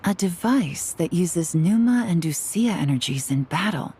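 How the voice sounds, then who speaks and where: A worldly, appraising woman, low in pitch with a husky edge. She is cool and considered, in the manner of video game dialogue. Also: expressive, a little slow, warm tone, heavily acted.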